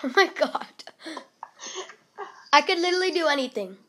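A woman's voice: high-pitched, expressive, moderate pace, pushed and bright. A young woman hosting a casual truth-or-dare video from home with friends, giggly and rambling. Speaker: vlog host.

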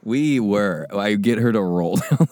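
A young man co-hosting a comedy podcast, telling rambling stories in casual chat and breaking into high-pitched laughs. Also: lively intonation, a little slow, darkish tone, slight background noise. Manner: rambling, giggly storyteller with sudden high laughs. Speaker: podcast co-host.

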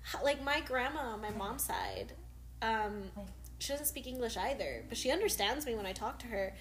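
A woman's voice: a little high, expressive, moderate pace, a bit bright, a little hiss or hum. A woman chatting casually and informally, dotting her sentences with "like" as a podcast co-host. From United States. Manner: informal, uses many likes. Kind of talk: casual chat.

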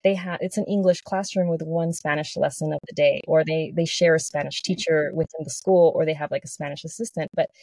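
A woman's voice: low-pitched, steady tone, a little fast, few pauses, a little mellow, no echo, rough recording. A woman in her thirties from Texas, a podcast guest talking earnestly in casual chat, with frequent restarts. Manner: earnest, with many restarts and "like" fillers.

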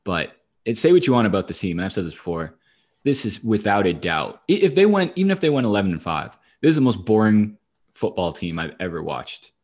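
A man with a fairly low voice hosts a casual sports talk show. He chats in a wry, dry way and swears freely as he gives his commentary. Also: big pitch swings, fairly quick, a little mellow. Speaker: sports podcast host.

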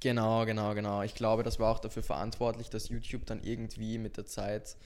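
A man's voice: fairly low pitch, level tone, a little fast. An eighteen-year-old man with an Austrian accent, talking casually as an interview guest. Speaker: podcast guest, social media creator.

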